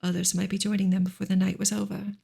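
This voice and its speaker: Soft, creaky ASMR woman reading. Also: mid-range voice, flat delivery, fast talker. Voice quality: soft, breathy, partly whispered. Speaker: ASMR reader and channel host.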